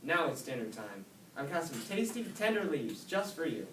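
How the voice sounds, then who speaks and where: Man putting on a mother snail, motherly, soothing, higher voice, storybook, echoey distant room. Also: big pitch swings, moderate pace, fairly bright tone. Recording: echoey room, mic far off, faint hiss.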